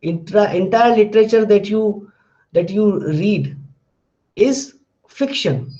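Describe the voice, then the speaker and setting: A man's voice: high-pitched, slow, dark tone, lo-fi sound. A man from India with an Indian English accent and Hindi as his first language, giving a methodical classroom lecture on linguistics and tagging his points with "fine". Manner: methodical, recaps terms, tags points with "fine".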